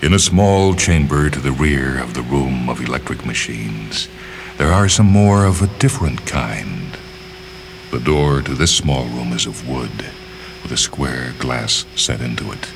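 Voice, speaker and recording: Very deep-voiced man reading a story. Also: expressive, unhurried, creaky, noisy background. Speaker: story reader and narrator. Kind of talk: scripted narration of a literary short story.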